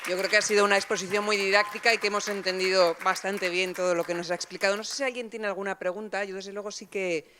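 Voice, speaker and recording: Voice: mid-range voice, fairly even intonation, fast talker, few pauses, a crowd in the background, background noise. Moderator leading a Q&A. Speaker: session moderator.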